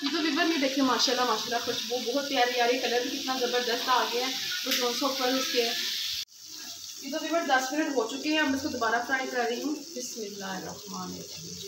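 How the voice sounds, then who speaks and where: A woman's voice: fairly high pitch, fast talker, very noisy. A woman hosting a casual home cooking tutorial, chatting as she works and saying a blessing before each step. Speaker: cooking channel host. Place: home kitchen.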